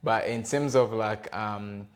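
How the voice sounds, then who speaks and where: A man's voice: lowish voice, slow pace. A man in his thirties from the Democratic Republic of Congo, answering interview questions in a hesitant way, with many fillers and restarts. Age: 30s. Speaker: podcast guest, gospel rapper. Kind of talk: casual interview conversation.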